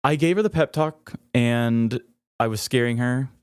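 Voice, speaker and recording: A man's voice: medium pitch, a little slow, clean tone, dry recording. A man hosting a podcast, telling candid, self-mocking stories in a casual comedic way on a close microphone. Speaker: podcast host.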